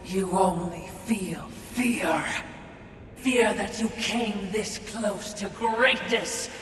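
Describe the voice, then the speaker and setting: A woman's voice: mid-range voice, drawn-out words, background noise. A woman playing a mocking, sardonic servant creature in a video game, taunting theatrically. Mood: taunting, scornful. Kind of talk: video game character acting. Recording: very echoey hall, distant from mic.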